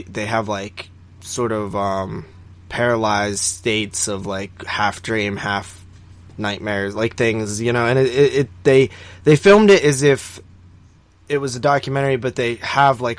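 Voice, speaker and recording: Guy podcast host chatting about movies, mid pitch, kinda rambly, corrects himself a lot. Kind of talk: casual film review chat.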